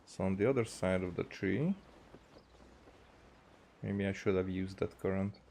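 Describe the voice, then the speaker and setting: A man giving casual, halting gameplay commentary as a streamer, thinking aloud as he plays. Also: deep voice, vocal fry, a little mellow, a little hiss or hum. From Hungary. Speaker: video game streamer.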